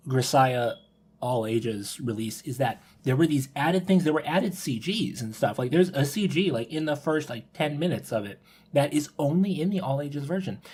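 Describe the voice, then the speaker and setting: A man talking casually on a podcast, thinking aloud in a halting way and repeating his words. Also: medium pitch, a little mellow, husky. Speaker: podcast panelist.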